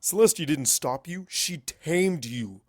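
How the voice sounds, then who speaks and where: A man's voice: a little high, lively intonation, measured pace, no echo, studio quality. A man voicing an arrogant, irritable male pony wizard with a blunt, bossy manner in a story reading. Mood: scornful.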